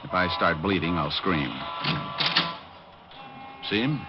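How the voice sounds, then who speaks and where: An actor playing a cocky, tough private detective with sardonic, teasing banter in 1940s radio drama style. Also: male voice, deep voice, expressive, slow, over loud music. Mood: dry, relaxed. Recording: dull, noisy vintage radio recording.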